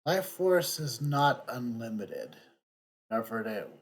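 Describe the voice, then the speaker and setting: A man giving casual gameplay commentary, musing haltingly as he goes. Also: mid-range voice, sing-song, husky. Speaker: game streamer.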